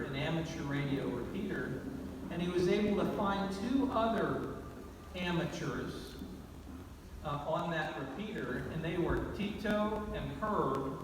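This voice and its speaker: A man giving a storytelling award speech, speaking deliberately with pauses and repeated phrases. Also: high voice. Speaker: award presenter. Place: large banquet or awards hall over PA. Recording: very echoey hall, distant mic, noisy.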